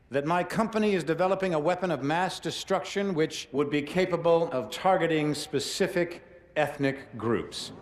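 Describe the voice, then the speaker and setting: A man playing an arrogant, menacing corporate military chief who gives a formal, defiant speech in a deliberate, commanding way. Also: on the high side, lightly acted. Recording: cinematic music behind.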